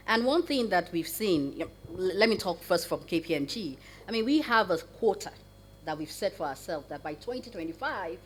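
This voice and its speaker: A woman answers on a panel. Her voice is low-pitched and croaky from a cough. She speaks in a measured, professional way, and a faint hum of noise sits behind her on the call. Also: rough recording. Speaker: corporate board evaluation advisor and panelist.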